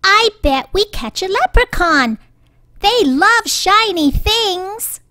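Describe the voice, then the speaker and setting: A woman's voice: very high-pitched, animated, slow, sharp tone, no room sound, studio quality, voice pushed up, exaggerated voice. A woman voicing an eager, bossy little girl in a storybook, urgent and insistent. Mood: excited.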